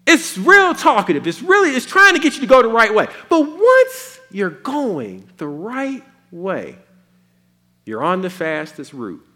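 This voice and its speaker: A man preaching a sermon in a folksy, animated way with playful asides. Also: high voice, measured pace.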